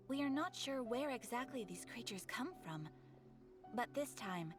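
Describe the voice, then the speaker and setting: A woman's voice: fairly high pitch, natural voice. Woman playing a courteous guild receptionist. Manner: formal and courteous. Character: poised adventurers' guild receptionist, polite. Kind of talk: video game voice acting.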